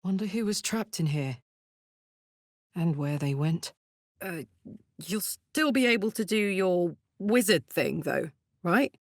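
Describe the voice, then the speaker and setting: A woman's voice: fairly low pitch, animated, slow pace, long pauses, dry recording, heavily acted. Casting: a female game warrior, brash yet big-hearted, plain-spoken and earnest, taking her time, recorded close and dry. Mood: matter-of-fact. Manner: earnest, blunt, slangy and informal. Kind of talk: video game voice acting.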